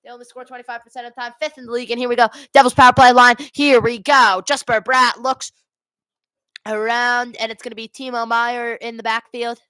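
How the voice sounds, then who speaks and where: A woman's voice: fairly high pitch, flat delivery, a little fast, bright, forceful tone, dry recording. A woman doing live amateur hockey play-by-play and casual chat as host of a watch party, speaking into a headset.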